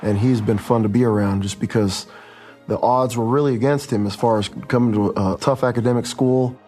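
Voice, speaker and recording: A man's voice: medium pitch, fairly even intonation, fairly quick, dark tone, faint music behind. A man speaking as a coach in a documentary interview, describing someone in a conversational, reflective way.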